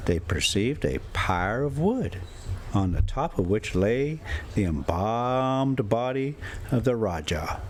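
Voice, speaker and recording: A man with a lowish voice hosts a homespun story time. He takes his time, and his pitch swings in a lively way. His manner is folksy and informal, and there is some noise behind him. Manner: folksy, rambling, chuckling self-commentary. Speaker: storyteller and host reading a classic novel. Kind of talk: read-aloud story with casual asides.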